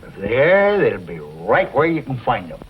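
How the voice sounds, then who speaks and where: An actor playing a kindly, folksy old rancher in a 1940s western style. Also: male voice, mid-range voice, huge pitch swings, moderate pace, soft background music. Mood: earnest pleading. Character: kindly folksy old rancher who takes in stray boys. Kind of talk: 1940s western film acting. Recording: muffled, hissy old western soundtrack.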